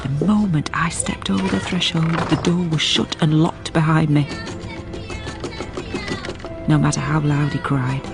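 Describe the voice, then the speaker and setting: Low, gravelly-voiced housekeeper. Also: female voice, steady tone, music in the background. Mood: sorrowful. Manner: grave, measured, cautioning. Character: sensible, anxious housekeeper telling her gothic tale. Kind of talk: radio drama narration and dialogue.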